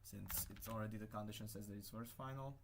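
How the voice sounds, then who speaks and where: A man's voice: lowish voice, fairly even intonation, fast, vocal fry, background noise. A young man with a Hungarian accent, a native Hungarian speaker, casually explaining his constructed language and thinking aloud with hesitant self-corrections. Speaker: conlang creator. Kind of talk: casual screen-share explanation.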